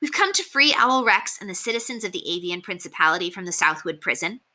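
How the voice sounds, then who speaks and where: A woman voicing a calm, bold young girl rebel in a storybook, polite and matter-of-fact. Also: mid-range voice, fast talker.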